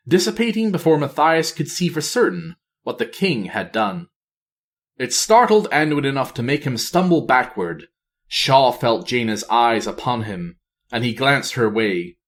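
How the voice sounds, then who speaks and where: A man reading a fantasy novel aloud as scripted narration, in a measured, theatrical manner. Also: mid-range voice, sing-song. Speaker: audiobook reader.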